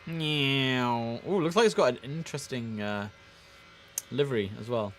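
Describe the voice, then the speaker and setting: A man's voice: mid-range voice, unhurried, fairly bright tone. Man streaming, over background noise. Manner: chatty, mixes clipped radio phraseology with asides. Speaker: livestream host. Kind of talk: casual game streaming commentary.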